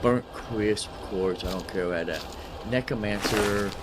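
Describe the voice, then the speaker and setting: A man's voice: on the low side, a little slow, very noisy. A man giving casual gameplay commentary, muttering asides and questions to himself. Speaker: gaming video host.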